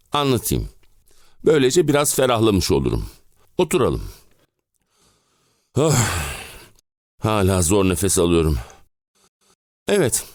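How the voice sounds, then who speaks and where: A man's voice: on the low side, no echo, clean studio sound. An actor playing an exhausted middle-aged family man and clerk, complaining theatrically in an audio play. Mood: agitated. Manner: dramatic, complaining, rhetorical questions. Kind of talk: theatrical audio drama monologue.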